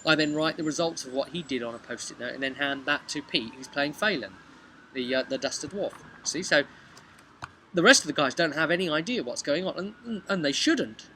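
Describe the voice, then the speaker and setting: A young man with a British accent casually recounts a tabletop game session as game master, conversational, with emphatic pauses and tag questions. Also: fairly high pitch, animated, pushed and bright. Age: young adult. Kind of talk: casual vlog storytelling. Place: outdoors on a bench beside a brick house. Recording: noisy outdoor recording with traffic ambience.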